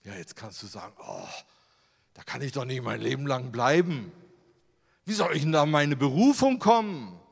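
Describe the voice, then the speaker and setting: A man's voice: mid-range voice, sing-song, normal speed, gravelly, slightly rough. A German man giving a sermon with slides, in a conversational way, full of rhetorical questions. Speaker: preacher. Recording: long hall reverb.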